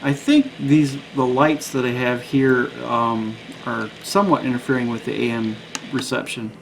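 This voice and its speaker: A man's voice: mid-range voice, fairly even intonation, moderate pace, gravelly. Man, casual reviewer, noisy recording. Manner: rambling, hesitant, thinking aloud. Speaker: radio gadget reviewer. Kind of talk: casual unscripted product review.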